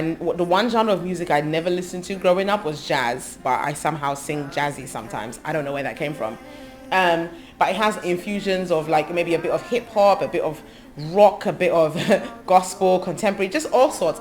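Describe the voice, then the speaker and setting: Low-voiced woman over music. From England. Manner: thoughtful, long lists with frequent ums. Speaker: gospel singer. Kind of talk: interview monologue about her life. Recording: music playing under her voice. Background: background music.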